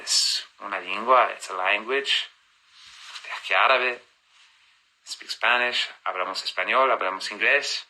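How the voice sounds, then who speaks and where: A man's voice: on the low side, a little slow, pushed and bright, rough recording. A man in his thirties chatting on a phone live stream, reading fan comments aloud and answering them, switching between languages mid-answer.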